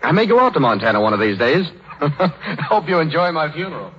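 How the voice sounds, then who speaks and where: A man's voice: a little high, expressive, brisk, background noise, lightly acted. A man acting a wry, flippant bacteriologist in a 1930s radio drama. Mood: breezy, wry. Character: wry male bacteriologist friend, flippant, breezy. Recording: dull lo-fi 1930s radio recording.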